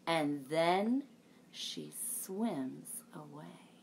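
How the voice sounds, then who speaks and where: A woman in her thirties, a children's librarian, reading a picture book and leading a sing-along for young children in a lively storytime manner. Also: low-pitched, extremely slow, vocal fry, a little hiss or hum. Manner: bright, animated storytime voice. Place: home room with a bookshelf backdrop.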